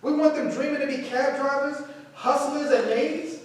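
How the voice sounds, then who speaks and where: High-voiced American man, a stage actor's role, struggling but proud idealist, recorded far off with heavy echo. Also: fairly even intonation, normal speed. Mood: defiant, proud. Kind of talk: theatrical stage dialogue. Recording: very echoey hall, distant microphone.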